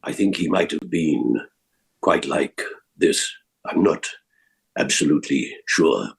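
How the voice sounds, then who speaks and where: A man's voice: extremely low pitch, takes it slowly, gravelly, husky. A man, a voice actor, reminiscing casually and hesitantly about the characters he has played.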